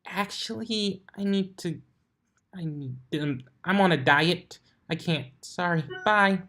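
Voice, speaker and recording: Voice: mid-range voice, slow, bright, forceful tone, voice pushed up. A flustered female unicorn librarian in a cartoon fan story, acted out by the narrator. The voice is raised well above normal talk, anxious and earnest. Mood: nervous.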